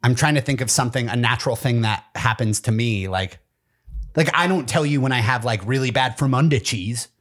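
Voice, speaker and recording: A man's voice: medium pitch, fairly quick. A man co-hosting a casual podcast, teasing his partner and talking football in a laid-back way. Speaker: podcast co-host. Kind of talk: casual chat.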